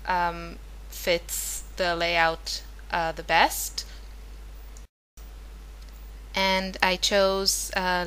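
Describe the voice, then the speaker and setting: Chatty woman, bright voice. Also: on the low side, clean tone, rough recording. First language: Hebrew. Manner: chatty, rambling, many fillers and self-corrections. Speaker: scrapbooking vlogger. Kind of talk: casual voice-over narration of a craft process.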